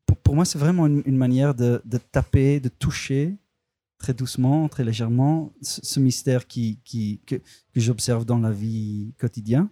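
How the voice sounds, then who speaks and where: A man's voice: mid-range voice, a little slow, dark tone, no room sound. A filmmaker, a man speaking a language that is not his first, answers interview questions hesitantly, restarting and searching for words. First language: not French.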